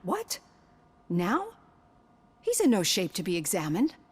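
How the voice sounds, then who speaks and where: An actress playing a composed, professional female psychologist in a video game, measured, tactful and polite but firm. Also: medium pitch, wildly swooping pitch, breathy edge, full character voice. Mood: indignant, firm. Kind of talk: video game cutscene acting.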